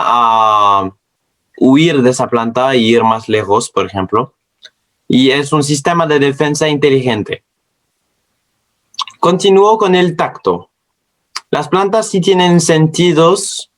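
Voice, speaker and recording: Slow, non-native male student presenter. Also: a little high, animated. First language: not Spanish, speaks it as a second language. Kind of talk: scripted educational narration.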